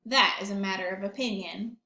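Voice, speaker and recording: A woman's voice: mid-range voice, pushed and bright, echoey room, pitched below the performer's own voice. A woman voicing a stubborn, indignant male pigeon from a picture book, protesting and arguing emphatically. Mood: defiant. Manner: emphatic, argumentative, drawn-out protests.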